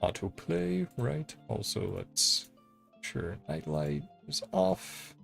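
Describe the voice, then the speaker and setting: A man's voice: lowish voice, wildly swooping pitch, slow, vocal fry, a bit bright. A man streaming a game, chatting casually and reading the dialogue aloud in his own voice with frequent chuckles. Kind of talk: casual live-stream commentary. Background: faint game music.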